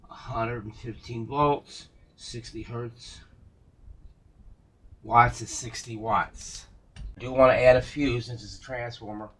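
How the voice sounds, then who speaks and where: A man with a husky, slightly rough voice talks at an unhurried pace. He gives casual workbench commentary as a hobby restorer, thinking out loud in halting, unscripted phrases. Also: medium pitch, steady tone, poor audio. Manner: musing aloud, halting, talking to himself and viewers. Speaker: vintage radio restorer showing a repair.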